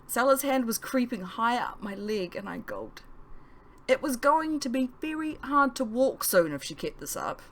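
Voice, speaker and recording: A woman reading her own novel aloud as expressive audiobook narration. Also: fairly high pitch, normal speed.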